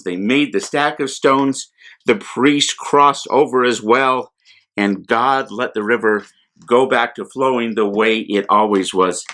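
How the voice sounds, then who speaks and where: A man, a priest, speaking to children in simple, gentle words as he leads a storytime with song and blessing. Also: mid-range voice. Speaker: priest telling children's Bible stories.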